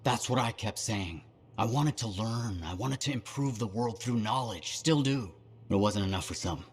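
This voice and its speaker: A man's voice: on the low side, expressive, moderate pace, breathy edge. An actor playing an earnest, eccentric male scholar in a video game, wordy and precise with a self-deprecating touch. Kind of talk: video game cutscene acting.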